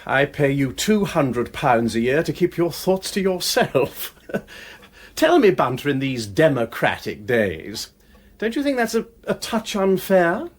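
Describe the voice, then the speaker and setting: A witty English aristocrat who dabbles in detection, for a radio drama. His upper-class voice is fairly high and very animated, with a little huskiness. He banters flippantly and drawls his exclamations. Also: a little slow. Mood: teasing, playful. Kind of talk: BBC radio drama acting.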